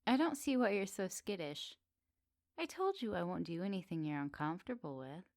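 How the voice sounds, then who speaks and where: Flirty cottage witch, acted by a woman, lowish pitch, soft and teasing, unhurried, intimate ASMR roleplay, dry close sound. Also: expressive, no echo. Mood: calm, coaxing.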